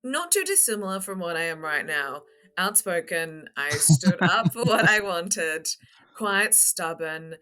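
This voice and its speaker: A young Australian woman answering interview questions as a guest, articulate and emphatic, with measured phrasing. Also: low-pitched, pushed and bright. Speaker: podcast guest, construction coach. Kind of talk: interview talk.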